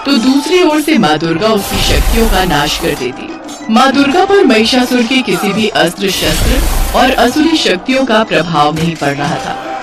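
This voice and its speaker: A woman's voice: mid-range voice, recorded from a distance. A woman narrating a scripted mythological tale in a dramatic storytelling style.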